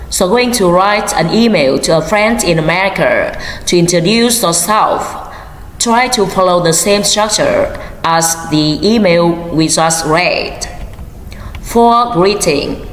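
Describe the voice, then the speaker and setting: A woman English teacher giving calm, measured classroom instructions. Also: fairly low pitch. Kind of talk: slow scripted classroom instruction. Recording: echoey hall with faint noise.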